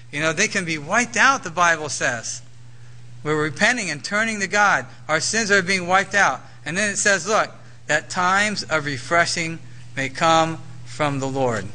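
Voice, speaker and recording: Bright high-voiced man preaching. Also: poor audio. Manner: conversational, self-deprecating, rhetorical questions. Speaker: pastor. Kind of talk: sermon.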